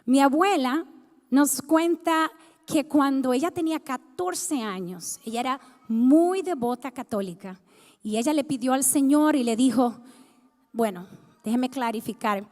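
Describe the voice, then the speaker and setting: A woman's voice: high-pitched, a little slow, a little mellow. A woman in her thirties from the Dominican Republic, sharing her personal testimony as a guest speaker in a church, talking conversationally and putting questions to the congregation. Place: church sanctuary. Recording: long hall reverb.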